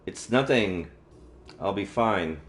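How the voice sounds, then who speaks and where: A man's voice: lowish voice, animated, slow. A man livestreaming a visual novel, reading the text aloud casually with jokey, muttered asides. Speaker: streamer playing a visual novel. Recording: roomy sound, faint game music. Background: faint game music.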